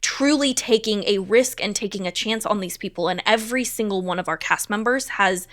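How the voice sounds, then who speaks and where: Female interview guest, medium pitch, conversational, earnest, emphatic delivery. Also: a little fast, fairly bright tone. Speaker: stage manager, interview guest.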